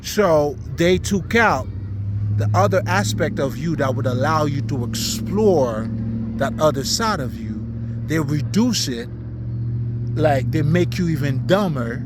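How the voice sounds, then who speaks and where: A man's voice: on the high side, lively intonation, slow, noisy background. A man from Haiti, not a native English speaker, giving an unscripted, preaching monologue in blunt, profane slang with emphatic repetition. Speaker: spiritual commentator.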